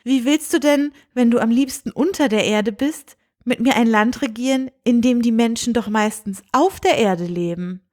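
A woman's voice: on the high side, normal speed, a little mellow, natural voice. A woman voicing a spirited, kind young princess in a storybook reading, earnest and emphatic. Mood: earnest doubt.

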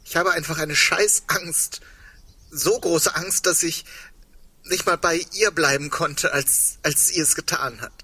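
An actor in a German radio play playing a frightened, grieving man, speaking in a halting, subdued, confessional way. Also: on the high side, sharp tone, husky, no room sound, heavily acted. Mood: afraid, upset.

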